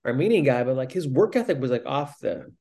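A man's voice: medium pitch, expressive, fast talker, vocal fry, darkish tone. Rambling young man telling stories. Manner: rambling storyteller, many "I was like" restarts. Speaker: podcast co-host. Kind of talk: casual chat.

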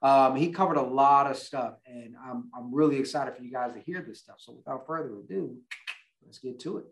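A man's voice: medium pitch, fairly quick. A man co-hosting a podcast in a loose, joking, self-deprecating way. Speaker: podcast co-host. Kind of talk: casual podcast chat.

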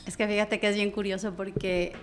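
A woman speaks as a podcast guest. She tells her stories in a lively, conversational way, acting out quoted dialogue and often ending phrases with a questioning tag. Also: mid-range voice, brisk, slight background noise. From Mexico. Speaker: business consultant guest. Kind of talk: casual interview storytelling.

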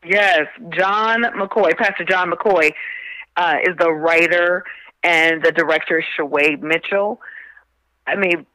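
A woman speaking as a radio interview guest, emphatic and a little halting, often restarting her phrases. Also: on the low side, pushed and bright, no room sound. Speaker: stage play cast member and spokesperson. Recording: dull band-limited radio call sound.

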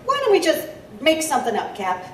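A woman's voice: high voice, wildly swooping pitch, brisk. A woman playing a stubborn, sardonic newspaper reporter who grumbles skeptically in a steampunk audio drama. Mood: skeptical, teasing. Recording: roomy, distant, faint noise.

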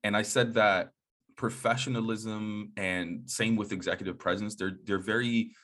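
Deep, husky-voiced male interviewer. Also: steady tone, moderate pace, a bit bright. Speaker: interview host. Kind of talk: conversational interviewing.

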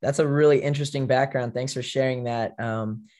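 A young American man hosting a podcast and interviewing in a casual way, his voice a little hoarse. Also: mid-range voice, steady tone, fairly quick, darkish tone. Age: young adult. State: slightly hoarse. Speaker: podcast and college radio host. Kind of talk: casual show presenting and interviewing.

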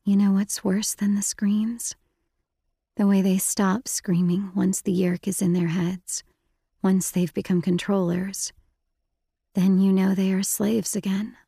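A woman voicing a gentle, earnest teenage girl who speaks in a hushed whisper, in a storybook reading. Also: mid-range voice, flat delivery, a little slow, creaky, breathy edge, no echo, clean studio sound.

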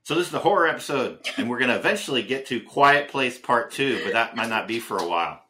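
A man's voice: medium pitch, animated, fast, pushed and bright, slight background noise. A man co-hosting a casual film podcast, rambling and chatty with lots of restarts and fillers. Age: older than his co-host. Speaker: podcast co-host.

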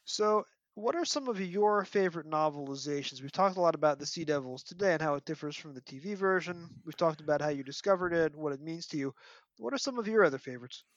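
A man's voice: on the high side, animated, fast, no room sound, dull audio. A man hosting a casual fan discussion, speaking in an emphatic, opinionated way. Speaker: podcast host.

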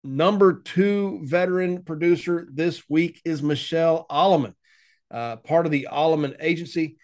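A man's voice: a little high, normal speed, a little mellow. A man hosting a team call, reading a scripted intro and sales numbers in an upbeat announcer style. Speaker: company president and call host. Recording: clear guitar music under voice. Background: guitar music.

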